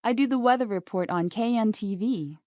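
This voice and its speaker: A woman's voice: on the high side, moderate pace, a little mellow, dry recording, clean studio sound, lightly acted. A woman voicing a matter-of-fact TV announcer chatting in a scripted English lesson dialogue. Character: matter-of-fact female TV announcer in everyday chat.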